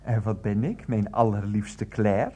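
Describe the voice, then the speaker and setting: An actor playing a hapless Dutch husband in a radio drama, soothing and evasive, often stammering. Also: male voice, on the low side, fairly even intonation, measured pace, warm tone, a little hiss or hum, natural voice. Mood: wry. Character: Freek, hapless placating husband, wheedling and evasive.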